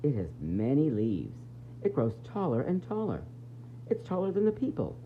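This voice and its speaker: A man reading a picture book aloud as a preschool teacher, expressive and explaining things directly to young children. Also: medium pitch, moderate pace. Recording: tinny phone-like audio with faint noise.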